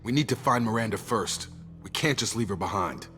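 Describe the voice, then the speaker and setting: Game squadmate: deep male, loyal, level-headed, frank, music underneath. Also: animated, a little fast. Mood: firm, challenging. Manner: frank, firm. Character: earnest, loyal, level-headed male space-station soldier. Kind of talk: video game cutscene acting. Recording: loud background music.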